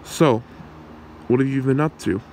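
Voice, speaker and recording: Man playing a shy, gravelly-voiced young guy. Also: mid-range voice, a little hiss or hum, close to an everyday voice. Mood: shy, friendly. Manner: hesitant, halting, soft-spoken. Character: shy, awkward young man smitten with childhood friend. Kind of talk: ASMR roleplay.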